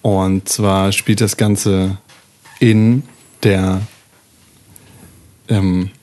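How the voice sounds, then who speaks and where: A man improvising a tabletop role-playing story in a casual way, haltingly, thinking aloud as he goes. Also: deep voice, little pitch movement, darkish tone.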